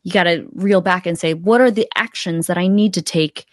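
A woman's voice: lowish voice, brisk, no echo, studio quality. A woman in her thirties, a coach being interviewed on a podcast, explaining casually step by step. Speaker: podcast guest, accountability coach. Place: room at home, video call. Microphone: close desktop podcast mic.

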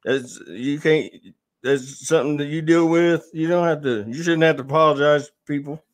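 Male talker, slightly high voice, blunt, profane, repetitive phrasing, casual. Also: normal speed. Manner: blunt, profane, repeats words. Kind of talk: casual chat.

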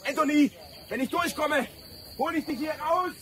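An actor playing a whining, panicky, cowardly companion in a radio play, with a moaning, stammering delivery. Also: male voice, very high-pitched, moderate pace, fairly bright tone. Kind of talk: German radio play acting.

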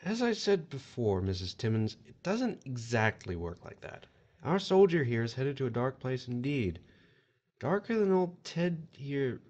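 Actor as an officious, swooping collector of souls. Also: male voice, medium pitch, very animated, a little slow, darkish tone. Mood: officious, explaining. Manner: wry, formal, explanatory. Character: smooth-talking, officious male otherworldly collector of souls. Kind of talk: audio horror drama acting.

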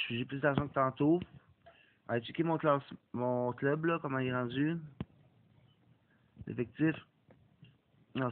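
A young man with a Québécois accent who has a cold, commenting on a mobile racing game, swearing and apologizing for it. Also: medium pitch. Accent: Québécois French. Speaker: gaming YouTuber. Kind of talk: casual gameplay commentary. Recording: phone-like lo-fi, faint hiss.

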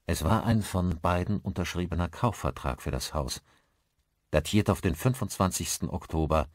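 A man narrating a thriller audiobook in a measured, articulate storytelling manner. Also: sits low, slightly rough, no room sound, clean studio sound.

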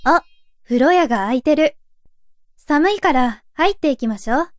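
A woman's voice: high-pitched, expressive, moderate pace, no echo. A woman playing a blunt young shrine maiden girl who makes dry retorts in a comic anime voice drama. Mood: deadpan. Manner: dry, exasperated straight-man retorts.

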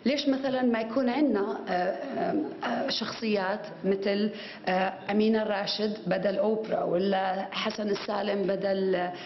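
A woman's voice: mid-range voice, steady tone, normal speed, roomy sound, muffled recording. A woman gives a conference talk from a podium microphone. She gestures as she speaks and uses many fillers and restarts. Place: conference hall with stage.

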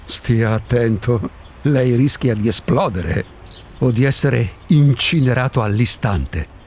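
A man's voice: mid-range voice, animated, slow pace, a little mellow, slight background noise. An actor in a radio drama playing an eccentric, solitary man who insists he does not exist, philosophizing in a provocative, impatient way. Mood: insistent. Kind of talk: Italian radio drama acting.

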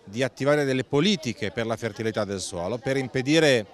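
A middle-aged campaign coordinator giving an earnest interview at a fair stand, speaking into a close handheld mic with a few hesitant fillers. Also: male voice, mid-range voice, a little fast. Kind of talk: on-camera interview statement. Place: indoor stand at a busy food fair. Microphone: handheld mic close below the face.

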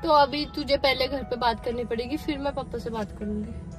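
A young woman with a cold and a sore throat banters back and forth from the passenger seat of a car. Her voice sits high, and she is quick to argue back. Soft music plays under her. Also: low-quality recording. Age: young adult. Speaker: vlogger's best friend. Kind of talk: casual banter. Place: inside a car. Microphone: phone camera held by the driver.

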